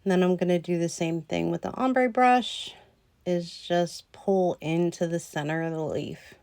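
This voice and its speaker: A woman talking through a nail tutorial in a slow, rambling way with lots of ums, a little hoarse as she loses her voice. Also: on the low side, darkish tone, no room sound. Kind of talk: casual voice-over tutorial.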